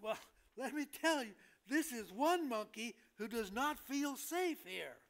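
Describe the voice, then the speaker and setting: A man's voice: extremely high pitch, animated, measured pace, a bit bright, exaggerated voice. An older man playing a tired, complaining hospital patient in a staged comedy reading, hesitant and plaintive.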